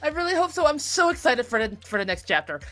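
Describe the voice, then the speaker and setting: A woman chatting casually as an interview guest, excitable, effusive and expressive in the way she talks. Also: high voice, fast, soft background music. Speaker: voice actor guest being interviewed.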